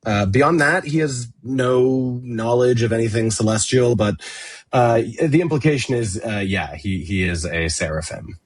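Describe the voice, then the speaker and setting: A man being interviewed on a podcast, answering conversationally in a halting, hesitant manner. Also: medium pitch.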